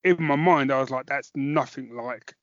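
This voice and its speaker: A man's voice: medium pitch, moderate pace, a bit bright, no room sound, muffled recording. A man co-hosting a podcast, chatting casually about a review and thinking aloud as he goes. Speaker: podcast co-host.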